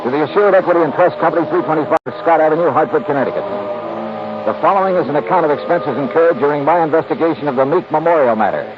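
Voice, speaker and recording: An actor playing a wry, skeptical American insurance investigator in a 1950s radio drama, with crisp narration and dry banter. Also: male voice, fairly high pitch, fast talker, over music, lightly acted. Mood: businesslike. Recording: dull, noisy 1950s radio recording.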